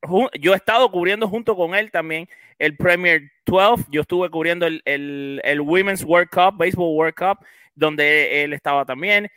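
A man from Cuba with a Cuban accent, hosting a podcast and putting long, animated questions to his guest. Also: fairly high pitch, moderate pace, clear, resonant tone, dry recording. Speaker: podcast host. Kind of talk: interview questions, casual podcast.

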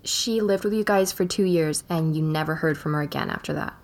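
A woman's voice: low-pitched, brisk. An actress playing an inquisitive young journalist, probing and composed as she interviews and narrates in an audio drama podcast. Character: inquisitive young woman journalist investigating a disappearance.

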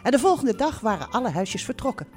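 An actress playing a bossy, warm-hearted middle-aged mother who runs a holiday park, in a chatty, theatrical live audio drama style. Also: a little high, sing-song, fast talker. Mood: narrating, content. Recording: live theatre recording with music.

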